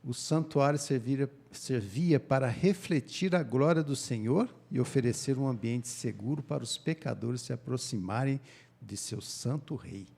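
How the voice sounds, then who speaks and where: Male Brazilian Bible study panelist, middle-aged, gravelly and slightly husky, explanatory, question-led delivery. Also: mid-range voice, normal speed, darkish tone. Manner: explains through rhetorical questions. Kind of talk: explanatory religious discussion.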